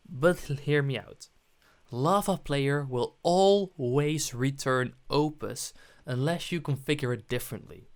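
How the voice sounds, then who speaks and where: A man presenting a programming tutorial, narrating his steps on screen as he goes. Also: a little high.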